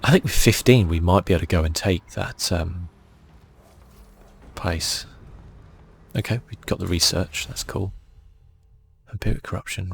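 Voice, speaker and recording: A man speaking softly and casually, thinking aloud as he gives ASMR commentary on a video game. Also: sits low, creaky, a little hiss or hum. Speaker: gaming channel host.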